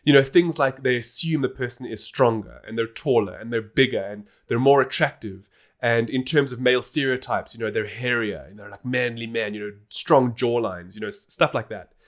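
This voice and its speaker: A man's voice: mid-range voice, normal speed. A man hosting a podcast, explaining things in a casual, informal and emphatic way. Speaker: podcast host.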